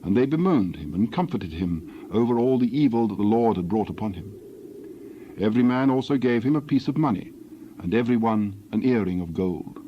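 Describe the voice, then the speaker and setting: A man narrating scripture from a script in a solemn, measured storytelling manner. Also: lowish voice, animated. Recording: dull lo-fi, faint background noise.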